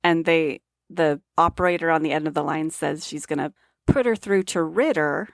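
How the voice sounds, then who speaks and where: Gravelly low-voiced woman chatting. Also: moderate pace, no room sound, studio quality. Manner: chatty, wry remarks, agreeing often. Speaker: podcast co-host. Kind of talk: casual movie recap chat.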